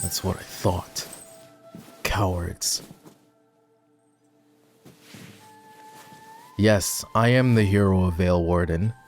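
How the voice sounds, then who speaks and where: A man playing a proud, bitter lowborn knight and war hero in a dramatic fantasy roleplay audio, with a sardonic, scornful manner. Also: a little slow, soft background music. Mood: defiant, scornful. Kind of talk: fantasy roleplay ASMR voice acting.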